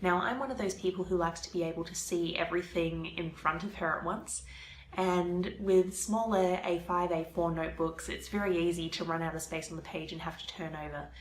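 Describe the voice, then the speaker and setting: A young woman talks through her writing plans, her nose stuffy from a cold. Her voice sits fairly low and stays chatty as she explains each step. The room is echoey, with a faint hum behind her. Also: fairly even intonation, a little fast. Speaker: vlogger, aspiring fiction author. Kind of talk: casual vlog talk to camera.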